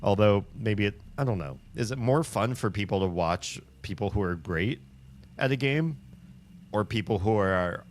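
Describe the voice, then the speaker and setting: A man with a low voice hosts a livestream, talking with viewers in a chatty, upbeat way. He rambles and restarts his sentences, with many ums and likes. A faint hiss sits underneath. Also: lively intonation, moderate pace. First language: English. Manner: rambling, with frequent fillers and restarts. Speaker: livestream host. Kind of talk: casual chat with viewers.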